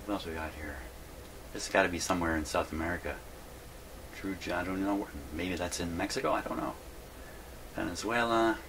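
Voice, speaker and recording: Man, low voice, casual workbench narration, rambling, thinking out loud, noticeable background noise. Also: steady tone, brisk, vocal fry, pauses often. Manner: rambling, musing aloud to himself. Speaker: host of a radio repair video. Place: indoor home workshop.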